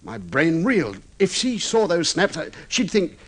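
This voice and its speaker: A man's voice: fairly high pitch, wildly swooping pitch, unhurried, exaggerated voice. An actor playing an agitated, guilty husband in an old radio drama, pleading earnestly and stumbling over his words. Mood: confessing, anxious. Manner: earnest, flustered, hesitant and repeating himself. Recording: slightly muffled vintage radio drama recording.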